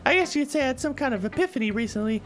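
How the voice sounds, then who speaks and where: Visual novel club leader, played by a woman: teenage girl, high-pitched, upbeat, encouraging, light music underneath. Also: lively intonation, racing. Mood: cheery. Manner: cheery, chatty, gently coaching. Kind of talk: visual novel character dialogue read aloud. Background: soft background music.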